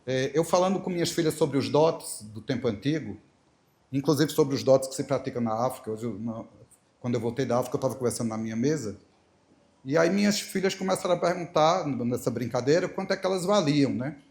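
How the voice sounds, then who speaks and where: Middle-aged preacher with a Paraíba accent. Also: male voice, medium pitch, lively intonation, fairly quick. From Paraíba, Brazil. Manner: warm, storytelling, playful asides, emphatic repetition. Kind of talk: church talk with Bible reading.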